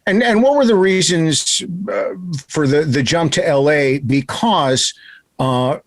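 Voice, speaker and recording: A man's voice: a little high, animated, takes it slowly, dry recording. A man hosting a radio interview, asking questions casually and teasing his guests with jokes. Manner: joking, teasing. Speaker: radio host interviewing a band.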